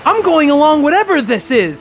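A man's voice: very high-pitched, big pitch swings, fairly quick, highs cut off. A man playing an eager, plucky teenage newsboy sidekick in an amateur comic-book radio play. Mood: curious.

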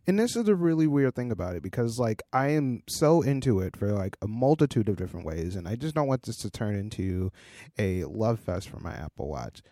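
Hoarse man, laid-back podcast host. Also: lowish voice, sing-song, brisk, dark tone, no echo, studio quality. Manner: laughs often, talks in loose run-on stories. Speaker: podcast co-host, independent designer and developer. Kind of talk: casual chat.

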